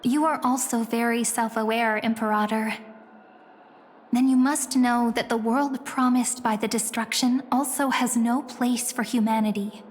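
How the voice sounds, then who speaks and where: A woman playing a poised, gently persuasive female guide in a video game, with a poetic, composed way of speaking. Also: a little high, fairly even intonation, measured pace. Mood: gentle, wistful. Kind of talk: dramatic video game voice acting. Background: music.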